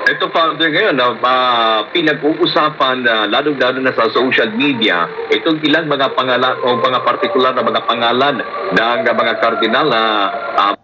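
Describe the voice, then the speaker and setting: A man with a Filipino accent interviewing on radio, circling haltingly toward his question. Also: mid-range voice. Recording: muffled, noisy AM radio capture.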